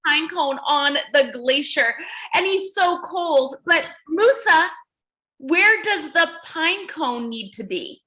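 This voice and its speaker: A woman reading aloud to young children as their teacher, encouraging and exaggerated, pausing to ask questions. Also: high-pitched, lively intonation, bright, forceful tone.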